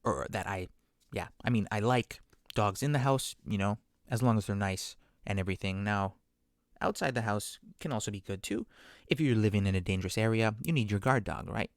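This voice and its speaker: Low, gravelly-voiced man rambling casually. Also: brisk, dry recording, studio quality. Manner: rambling, self-deprecating; conversational, many fillers and asides. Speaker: podcast speaker. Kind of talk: casual monologue.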